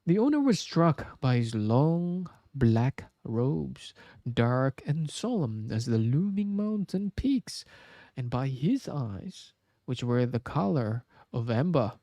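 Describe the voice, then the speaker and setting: Slow, gravelly man reading a story. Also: medium pitch, big pitch swings, warm tone, dry recording, clean studio sound. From Indonesia. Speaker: streamer reading a game story aloud. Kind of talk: scripted narration, reading aloud.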